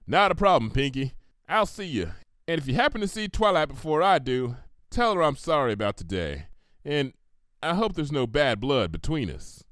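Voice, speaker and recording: A weary cartoon farm mare, acted out by a man who talks in a folksy, wry, plain-spoken way. The delivery is very animated. The sound is dry and close. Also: fairly high pitch, normal speed, dry recording, pitched below the performer's own voice. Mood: relieved. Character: tired, folksy adult female farm pony, plain-spoken.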